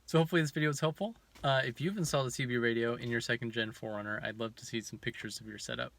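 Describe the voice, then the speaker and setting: A man giving a casual, low-key hands-on tutorial in a plain explaining way, on a noisy amateur recording. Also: very fast, gravelly, a bit bright. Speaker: DIY car video host.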